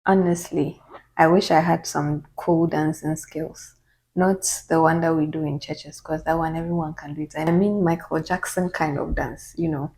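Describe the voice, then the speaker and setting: Ghanaian woman YouTuber, deep voice, calm and measured, chatty Q&A vlog. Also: low-quality recording. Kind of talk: casual get-to-know-me Q&A.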